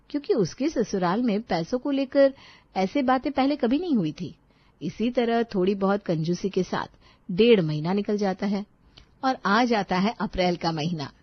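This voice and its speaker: A woman's voice: medium pitch, no room sound, lo-fi sound. A woman narrating a scripted story as a storyteller.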